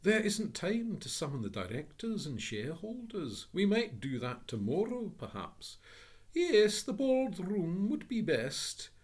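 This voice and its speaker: A man voicing a flustered, polite elderly office gentleman who talks in a fussy, high, slow and bewildered way. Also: huge pitch swings, slightly rough, full character voice. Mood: anxious polite.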